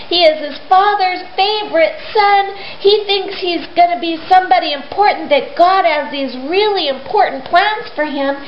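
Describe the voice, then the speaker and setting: A woman storyteller retelling a tale informally for children, conversational and expressive, often posing rhetorical questions. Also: very high-pitched. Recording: roomy, dull lo-fi, faint hiss.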